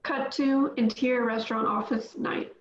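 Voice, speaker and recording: Woman, stage directions, matter-of-fact, higher voice, roomy muffled audio. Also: fairly even intonation, moderate pace, a little hiss or hum. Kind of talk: reading stage directions at a table read.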